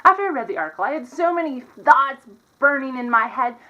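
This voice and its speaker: A woman's voice: high voice, expressive, fast talker, sharp tone, poor audio. A woman hosting a web show, giving sardonic commentary to her audience in a theatrical, tongue-in-cheek way. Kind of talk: sardonic video commentary to camera.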